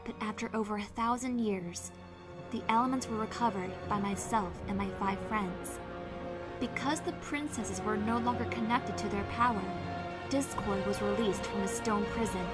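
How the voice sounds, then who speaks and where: A woman's voice: a little high, close to an everyday voice. A woman voicing an earnest, studious young pony heroine in a scripted audio drama. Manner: earnest, measured storytelling. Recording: music behind the voice.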